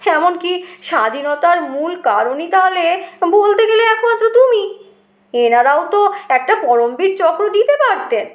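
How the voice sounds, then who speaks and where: A woman's voice: extremely high pitch, animated, unhurried, roomy sound, voice pushed up. A woman voicing a cheeky, curious youngster in a comic audio story, teasing and questioning. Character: cheeky, curious youngster needling an old uncle.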